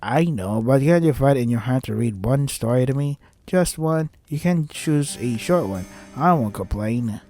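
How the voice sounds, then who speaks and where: Man reading as a wistful, coaxing princess, mellow voice. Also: mid-range voice, lively intonation, normal speed, few pauses, soft background music, close to an everyday voice. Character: sweet, pleading, wistful young princess. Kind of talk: visual novel dialogue read aloud.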